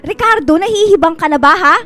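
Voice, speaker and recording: A haughty, combative stepmother in a Filipino radio drama. Her high voice is disdainful and goading, heard over a music bed. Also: brisk, sharp tone. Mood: scornful, outraged. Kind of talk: Filipino radio melodrama acting.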